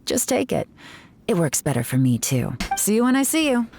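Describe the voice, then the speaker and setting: A woman playing a self-assured secret operative in a video game, brisk and nonchalant. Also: sing-song, creaky. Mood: cool, assured. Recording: rumbling background noise.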